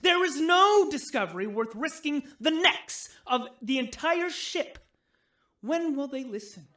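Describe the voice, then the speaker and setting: An agitated male activist leader from a sci-fi radio adventure. The voice is high and forceful, swinging widely as he harangues a rally. He sounds impassioned and indignant about the cause. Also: lively intonation, measured pace, exaggerated voice. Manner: impassioned, rabble-rousing. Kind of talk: sci-fi radio drama speech.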